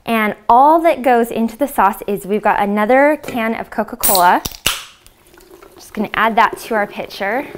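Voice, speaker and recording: A woman's voice: fairly high pitch, background noise. A woman in her thirties with an American accent, casually explaining a recipe step by step to camera as a cooking host. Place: home-style kitchen, indoors. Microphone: lapel mic.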